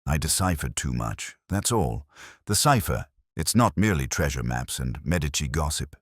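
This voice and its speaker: A man voicing a sickly, weakened aristocrat, faint, croaky and halting, in a detective audiobook. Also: a bass voice, sing-song, no echo, clean studio sound, exaggerated voice. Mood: weary, fearful. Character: sickly, imperious viscount, weakened and drugged.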